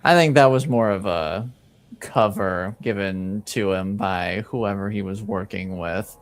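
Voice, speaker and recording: A man voicing a guarded, dryly smug underworld informant, speaking evasively and in measured phrases in a tabletop game. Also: fairly low pitch.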